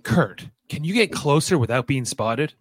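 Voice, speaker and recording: A man's voice: medium pitch, expressive, normal speed, no echo, close to an everyday voice. A man playing an earnest young heroic leader of a mutant team in a live radio play. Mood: questioning.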